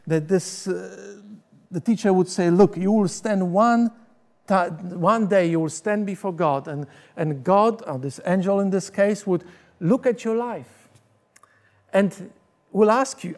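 Polish-accented man preaching, higher-pitched voice. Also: a little mellow. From Poland. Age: middle-aged. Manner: earnest storytelling, gesturing with both hands. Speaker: pastor and teacher. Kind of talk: sermon. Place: church stage with curtain backdrop. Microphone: lapel mic.

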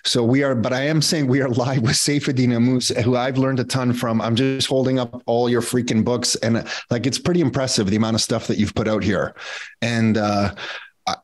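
A man's voice: medium pitch, steady tone, moderate pace. A middle-aged man hosting a podcast interview over a video call, asking long, rambling questions full of fillers. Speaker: podcast host. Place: home studio office. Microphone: earbud headset on video call.

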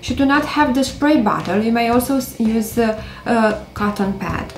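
A woman in her thirties from Romania, speaking English with a Romanian accent, gently hosting a beauty tutorial to camera with frequent hesitations. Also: fairly high pitch, lively intonation, moderate pace, echoey room, soft background music. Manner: gentle, careful delivery with many hesitations. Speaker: beauty vlogger. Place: indoors by a stone wall.

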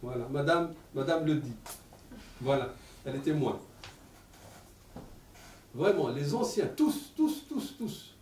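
A man in his sixties with an Arabic accent, giving an animated public talk with many repeated words. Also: on the high side, slow, long pauses, slight background noise. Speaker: lecturer. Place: lecture room. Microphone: table stand mic. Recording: echoey room, mic at a distance.

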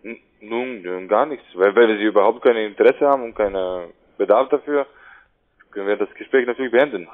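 Halting male caller on a tinny line. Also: fairly low pitch. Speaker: cold caller. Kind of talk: unscripted phone sales call. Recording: narrow, tinny phone line.

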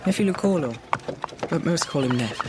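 A woman's voice: low voice, expressive, a little fast. Woman as a formal young warrior, noisy backdrop. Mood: polite, reserved. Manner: formal, precise. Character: formal, well-spoken young female plains warrior. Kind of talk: fantasy audio drama acting.

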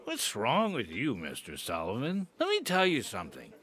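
A confused drunk man, rambling and slurring his words in an old-style radio drama. His pitch swings wildly from line to line, and he sounds a little off-mic. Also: wildly swooping pitch, moderate pace, a bit bright. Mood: earnest.

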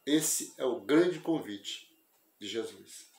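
Older man giving a slow spiritist talk. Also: mid-range voice, expressive, gravelly, a little mellow, echoey room. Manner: measured, reflective, explanatory. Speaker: spiritist lecturer. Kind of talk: religious lecture. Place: small room at home, indoors. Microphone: wired earphone mic on a video call.

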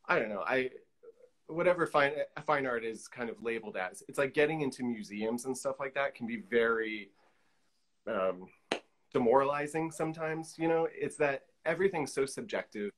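A man's voice: mid-range voice, normal speed, fairly bright tone. A man in his forties chatting as an interview guest on a video call, thinking aloud in a tentative, informal way. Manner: tentative, thinking aloud, hedging with "like" and "you know". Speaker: guest artist. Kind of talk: casual interview chat. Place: indoor room on a video call.

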